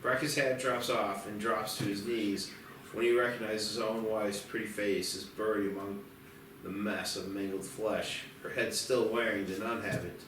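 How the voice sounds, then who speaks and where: A man's voice: on the low side, fairly even intonation, normal speed, fairly bright tone, a little hiss or hum. A man reading scripted screenplay directions as the narrator of a table read. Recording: very echoey, distant, music behind.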